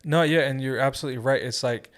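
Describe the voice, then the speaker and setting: A man's voice: medium pitch, fast, smooth. Young male podcast host, casual faith talk, earnest, encouraging, friendly, easygoing delivery. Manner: earnest, encouraging, says "bro" and "y'all".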